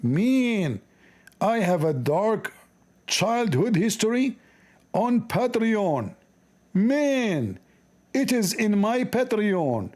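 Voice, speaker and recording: A man with an Arabic accent reading aloud haltingly and breaking off for sarcastic, joking commentary. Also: high-pitched, big pitch swings, a little mellow. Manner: halting, stumbling reading of English.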